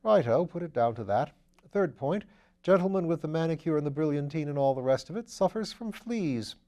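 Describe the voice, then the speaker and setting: A lively upper-class gentleman detective, as a male reader puts him on, pitched a bit above a mellow natural voice. He talks in brisk, eager bursts of posh slang. It is an audiobook character voice. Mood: breezy. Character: breezy aristocratic English gentleman sleuth, man.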